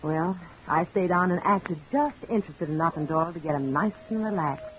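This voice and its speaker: A woman playing a plucky, no-nonsense young woman in a 1940s radio drama, brisk and capable. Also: on the low side, animated, lightly acted. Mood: earnest. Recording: muffled old radio recording with faint hiss.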